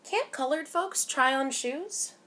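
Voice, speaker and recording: Woman voicing an earnest, expressive young girl. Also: sits high, takes it slowly, voice pushed up, full character voice. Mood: puzzled, hurt. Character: young girl narrator, earnest, hopeful, wanting fairness.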